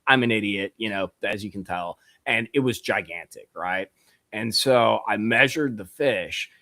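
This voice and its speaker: A man, a guest angler on a podcast, talking in an excitable, loose way, full of bro and dude. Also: on the low side, a little slow, no echo. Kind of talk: casual podcast chat.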